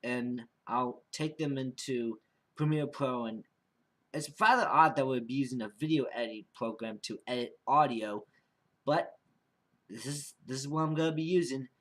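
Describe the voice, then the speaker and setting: A man's voice: mid-range voice, moderate pace. A young man giving a casual, unscripted vlog monologue as an amateur podcast creator, rambling and often repeating and restarting his phrases.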